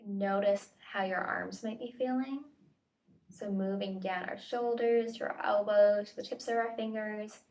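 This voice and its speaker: A woman's voice: mid-range voice, fairly even intonation. Distant-miked woman guiding a meditation. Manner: gentle tentative invitations, unhurried pauses. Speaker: meditation facilitator. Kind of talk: guided body scan meditation instruction. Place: at home, indoors. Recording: home recording, distant mic.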